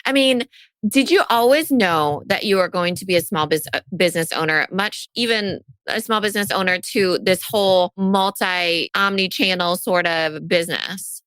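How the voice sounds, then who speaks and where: A woman's voice: lowish voice, normal speed, a bit bright, clear, resonant tone, no echo. A woman co-hosting a business podcast, asking questions with enthusiastic reactions. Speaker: podcast co-host. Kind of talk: casual interview chat.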